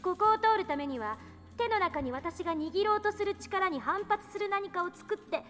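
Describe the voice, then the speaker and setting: A woman's voice: very high voice, lively intonation, fast, a bit bright. An actress playing a brainy, assertive young woman scientist in a visual novel, explaining things like a lecturer. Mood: keen, lecturing. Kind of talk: Japanese visual novel voice acting. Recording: reverberant game audio over music.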